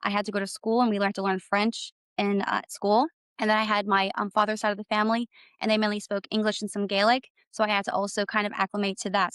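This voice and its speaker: Reflective woman from Canada, smooth voice. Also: medium pitch, a bit bright, dry recording. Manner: reflective, hesitant with fillers. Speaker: interview guest. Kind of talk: personal interview conversation.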